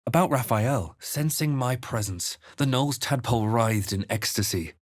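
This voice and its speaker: A composed, wry male adventurer from a fantasy video game, voiced by an actor, heard close and dry. His voice sits at a middling male pitch. He speaks in measured, literary phrases with a theatrical weight. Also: no echo, lightly acted. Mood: grave, hushed narration. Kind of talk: dramatic fantasy game voice lines and narration.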